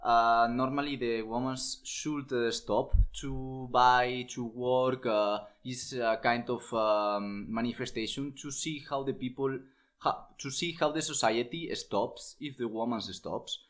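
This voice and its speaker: A twenty-one-year-old man from Spain, a volunteer guest speaking haltingly with frequent fillers. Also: mid-range voice, fairly even intonation, fairly bright tone. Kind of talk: casual podcast discussion.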